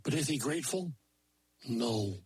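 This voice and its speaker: A man's voice: mid-range voice, lively intonation, unhurried, slightly rough, dry recording, voice pushed down. A man voicing a folksy male moose who tells a fairy tale in playful, mangled grammar with made-up words. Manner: playful, invents silly word forms. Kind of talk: oral folktale telling.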